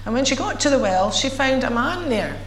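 A woman minister whose voice is still a little croaky, moving from slow, prayerful phrasing to friendly, playful chat with children. Her voice carries through an echoey church, with a faint hum of room noise behind her. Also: sits high, big pitch swings. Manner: measured and prayerful, then chatty and playful with children. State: croaky, recovering voice. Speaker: minister leading worship. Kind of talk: leading prayer and a children's talk. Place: church sanctuary.

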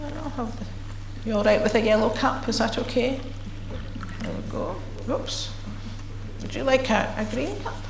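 Croaky-voiced woman minister in an echoey church. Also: on the high side, noisy background. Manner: measured and prayerful, then chatty and playful with children. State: croaky, recovering voice. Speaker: minister leading worship. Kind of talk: leading prayer and a children's talk. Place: church sanctuary.

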